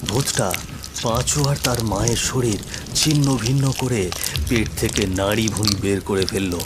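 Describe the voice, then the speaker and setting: A man's voice: lowish voice, normal speed, very noisy. A man reading scripted narration for a horror cartoon story.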